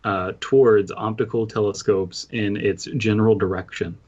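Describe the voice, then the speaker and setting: A man co-hosting a casual podcast, reading documents slowly with hesitations and filler words. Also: on the low side, fairly even intonation, darkish tone. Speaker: podcast co-host. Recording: muffled lo-fi podcast mic.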